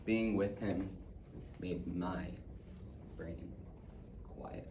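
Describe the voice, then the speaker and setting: A man's voice: mid-range voice, normal speed, natural voice. Man acting as an earnest young boy, echoey and distant. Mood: wistful. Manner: earnest, theatrical, swinging from excited to hushed. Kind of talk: dramatic monologue in speech competition style. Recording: distant echoey room, faint noise.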